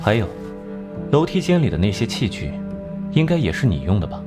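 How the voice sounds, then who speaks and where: An actor playing a cool, shrewd detective in an animated crime drama, questioning in a terse, dryly sardonic way. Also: male voice, on the low side, brisk, over music. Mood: sardonic, probing. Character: cool, shrewd, acerbic male detective, unflappable interrogator.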